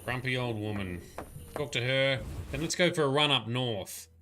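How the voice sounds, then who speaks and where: Brief: male streamer, bright tone, chatty and self-correcting, playing along with chat. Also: medium pitch, normal speed, slight background noise. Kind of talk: live gameplay commentary.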